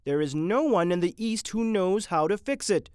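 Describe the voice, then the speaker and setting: A man voicing a panicked young male intern who shouts. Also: high voice, expressive, moderate pace, clear, resonant tone, dry recording, studio quality, voice pushed up.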